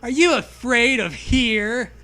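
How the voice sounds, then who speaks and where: A man's voice: very high-pitched, expressive, slow pace, pushed and bright, voice pushed up, heavily acted. A man voicing a teasing, sarcastic girl in a comic horror storybook, with punchy, taunting delivery. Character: Zoe, teasing, sarcastic girl in a baseball cap.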